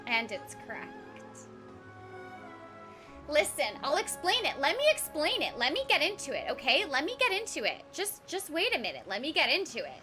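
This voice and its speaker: Bubbly, high-voiced woman from Texas. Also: moderate pace, soft background music. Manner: bubbly, giggly, animated. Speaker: voice actor streaming a game. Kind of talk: casual chat with a live stream audience.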